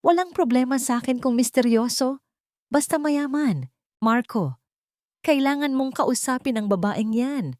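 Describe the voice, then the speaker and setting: A woman's voice: high-pitched, animated, measured pace, darkish tone, no echo, studio quality, pitched above the performer's own voice. A woman voicing a domineering, anxious older mother in a story, scolding and commanding fretfully. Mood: determined, commanding.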